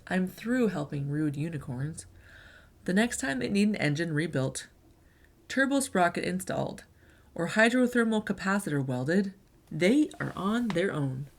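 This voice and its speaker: A woman's voice: lowish voice, lively intonation, unhurried. A woman voicing a fed-up, clever young female unicorn inventor, indignant and firm.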